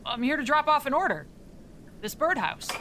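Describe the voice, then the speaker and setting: A woman's voice: sits high, big pitch swings, moderate pace, pushed and bright, a little hiss or hum, full character voice. A woman playing a reserved woodswoman, dry, hesitant and understated, in naturalistic audio drama style. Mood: dry, awkward. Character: reserved, awkward woodswoman, softening toward a friend.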